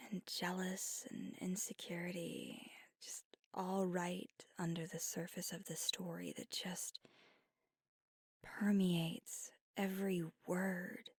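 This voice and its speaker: A woman's voice: on the low side, dry recording, exaggerated voice. A woman playing a whispery bookish vampire. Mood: reflective. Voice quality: soft whispery, breathy. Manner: thoughtful, gently teasing. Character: flirty bookish female vampire on a date. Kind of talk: ASMR roleplay. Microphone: close mic. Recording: dry close-mic ASMR.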